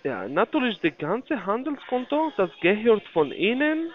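A high-voiced man with a foreign accent works through a telephone sales pitch. His intonation swings widely as he repeats himself in halting, grammatically broken phrases. The call audio is dull and lo-fi. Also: big pitch swings, faint music behind. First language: not German (non-native speaker). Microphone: phone call.

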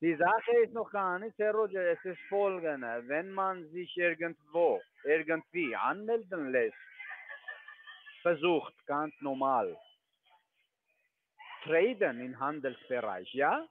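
A man's voice: a little high, expressive, slow pace, a little hiss or hum. A half-Italian man working as a trading firm agent, pushing insistently in broken German over the phone. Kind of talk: phone call. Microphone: telephone. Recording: tinny phone line.

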